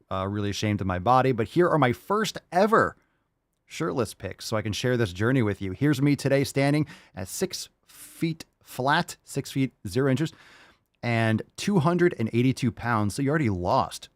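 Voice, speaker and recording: A man with an ordinary, mid-range voice hosts a fitness podcast, hyping up his listeners with plenty of swearing. The sound is dry and close, with no room echo. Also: mid-range voice. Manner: hyped, profane, encouraging. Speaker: podcast host. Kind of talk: casual podcast chat reading listener posts.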